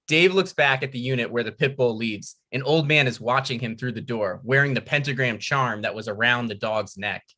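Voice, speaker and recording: A man's voice: mid-range voice, sharp tone. A man narrating a script's stage directions at a table read.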